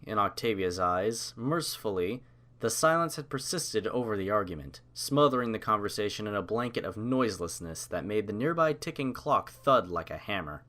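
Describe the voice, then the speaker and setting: A man's voice: fairly low pitch, a bit bright. A man reading a scripted story as its narrator.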